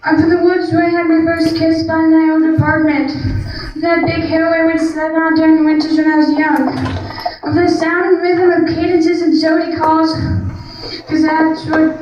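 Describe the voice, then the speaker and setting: A woman's voice: very high voice, flat delivery, moderate pace, darkish tone, slight background noise. A teenage girl reading her poem from a sheet in a school classroom. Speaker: student poet. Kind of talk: spoken word poetry reading. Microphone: handheld mic filmed from far back. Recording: distant, dull, echoey room.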